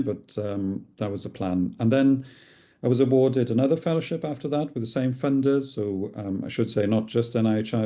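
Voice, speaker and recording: Halting, mellow-voiced man. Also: on the low side. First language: Welsh. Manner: halting, with many fillers and restarts. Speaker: clinical researcher. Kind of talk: recorded talk.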